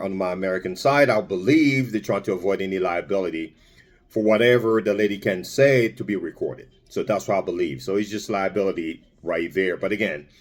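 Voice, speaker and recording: A man from Congo giving a rambling, casual vlog commentary with lots of fillers and restarts. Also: low voice. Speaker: vlogger.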